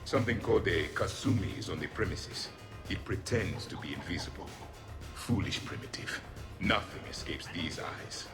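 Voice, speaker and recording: Ancient alien warrior for a game: solemn, superior, low-pitched, formal delivery. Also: male voice, animated, normal speed, music in the background. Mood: haughty. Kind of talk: video game character acting.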